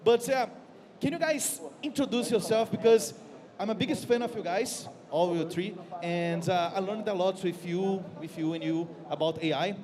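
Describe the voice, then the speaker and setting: A man's voice: high-pitched, normal speed, a crowd in the background. A man with a Brazilian accent, casually and playfully moderating a panel on stage as its host. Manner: playful, jokey. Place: stage at a conference. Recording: long hall reverb.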